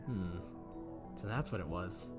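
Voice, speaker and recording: A man voicing a cruel, jealous older scientist who sneers coldly and then shouts at his daughter. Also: low-pitched, lively intonation, unhurried, loud music behind the voice, pitched below the performer's own voice. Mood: sneering. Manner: cold, sneering, explodes into shouting.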